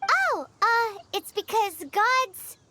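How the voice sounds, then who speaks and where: A woman voicing a tiny, excitable, childlike fairy companion in a video game, with a squeaky voice. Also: slow, exaggerated voice. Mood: flustered.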